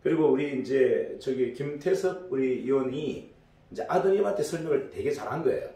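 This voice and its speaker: A middle-aged man with a hoarse, gravelly throat, his tone dark and mellow, tells a business lecture as a loose story. He rambles and restarts often. He is picked up from a distance in a roomy, echoing space. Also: mid-range voice, animated, moderate pace. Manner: rambling, many fillers and restarts. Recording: echoey room, distant mic.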